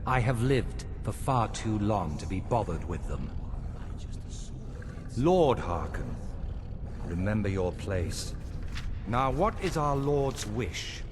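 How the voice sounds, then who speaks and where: An actor playing an ancient, aloof male vampire courtier in a video game, speaking in a measured, condescending, theatrical way. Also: fairly low pitch, sing-song, background noise. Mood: haughty, dismissive. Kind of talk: video game character acting.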